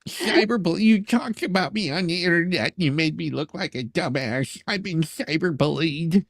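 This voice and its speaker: A man's voice: fairly high pitch, animated, normal speed, creaky, no echo, clean studio sound. A man hosting a live stream in casual chat, reading messages aloud and commenting sarcastically, often repeating words as he goes.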